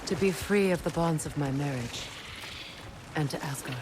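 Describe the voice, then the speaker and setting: An actress playing a proud, resolute warrior goddess in a video game, speaking in a measured, solemn, earnest manner. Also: female voice, deep voice, noisy background, natural voice. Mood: somber, determined. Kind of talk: dramatic video game acting.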